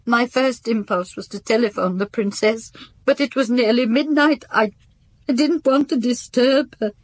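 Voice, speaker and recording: An actress playing a devout, guarded housekeeper in a radio drama, speaking formally and haltingly. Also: female voice, a little high, no room sound, natural voice. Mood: distressed confession. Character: devout, guarded spinster housekeeper to a French count.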